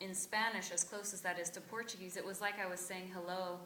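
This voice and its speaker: A woman's voice: fairly low pitch, fairly even intonation, fast, pushed and bright, slight background noise. A woman from Brazil, a cast member, giving an earnest personal reflection at a theatre talkback. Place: theatre auditorium. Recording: echoey theatre, distant mic.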